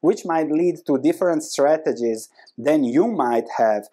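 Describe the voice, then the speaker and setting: A man in his thirties with a Croatian accent, a native Croatian speaker, giving an animated, conversational explanation to camera with emphatic repetition. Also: fairly high pitch, measured pace. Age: 30s. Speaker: host of an investing video channel. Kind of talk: explanatory book summary to camera. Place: small indoor room with bookshelves. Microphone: lapel mic.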